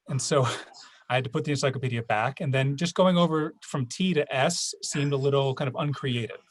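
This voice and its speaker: A man telling a personal story in a casual conversation, in a wry, anecdotal way. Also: medium pitch. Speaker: translator, guest.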